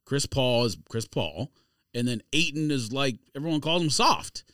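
A man's voice: mid-range voice, expressive, normal speed, fairly bright tone, no room sound, clean studio sound. A man in a casual sports podcast debate, argumentative and slangy as he contradicts and argues his points.

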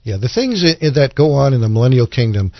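Male religious panelist, mid-pitched, earnest and explanatory, dry lo-fi recording. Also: very animated, a little fast, dry recording. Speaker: religious podcast panelist explaining Bible prophecy. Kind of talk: conversational Bible discussion.

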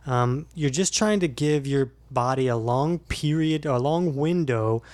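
A man's voice: mid-range voice, a little slow, darkish tone. A middle-aged man co-hosting a casual podcast, explaining diet advice in an easygoing way with frequent fillers. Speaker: podcast co-host, fitness coach.

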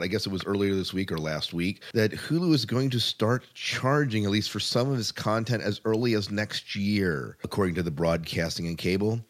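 A man hosting a podcast, chatting casually about entertainment news. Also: low-pitched. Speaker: podcast host.

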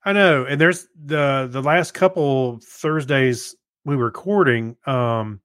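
Halting, casual man in a dry recording. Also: medium pitch, fairly bright tone, clear, resonant tone, dry recording. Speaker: podcast co-host. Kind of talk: casual chat.

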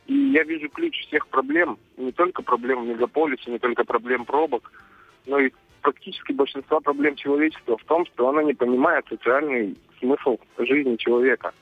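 A man's voice: mid-range voice, lively intonation, fairly quick, breathy edge, no echo, soft background music. A man calling in to a radio show, speaking formally and earnestly. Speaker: caller. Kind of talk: call-in radio. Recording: dry phone line.

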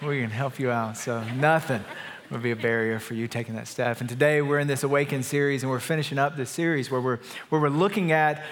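A man pastor opening a sermon, joking lightly and repeating words as he goes. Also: mid-range voice. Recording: long reverb of a large hall.

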